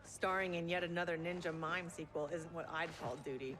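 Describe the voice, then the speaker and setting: An actress playing a stern middle-aged general in a video game, with an American accent and a clipped, authoritative, formal delivery. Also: female voice, fairly low pitch, fairly even intonation, fairly quick, background noise, natural voice. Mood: firm, serious. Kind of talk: dramatic video game acting.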